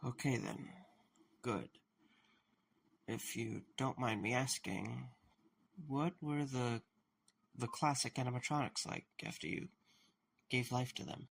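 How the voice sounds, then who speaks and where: A man playing a wary, blunt questioner in an audio drama, with a gravelly, husky voice and a probing, sardonic manner. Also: medium pitch, lively intonation, slow, pauses often, a bit bright, full character voice. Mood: cautious, probing.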